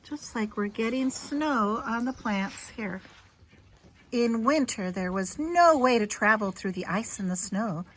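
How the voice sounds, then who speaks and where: A woman reading a children's picture book aloud in an expressive, teacherly way, with simple asides to young listeners. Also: a little high, a little slow, slight background noise, low-quality recording.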